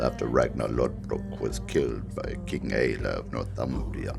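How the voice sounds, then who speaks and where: A man voicing an ancient Norse warrior with a gravelly voice, gravely narrating an epic tale. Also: rumbling low, full character voice. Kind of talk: dramatic scripted narration.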